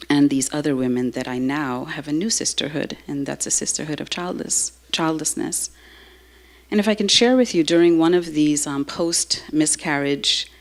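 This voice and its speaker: A woman giving a slow, halting, heartfelt personal talk to a live audience. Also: deep voice, gravelly. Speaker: speaker sharing a personal story.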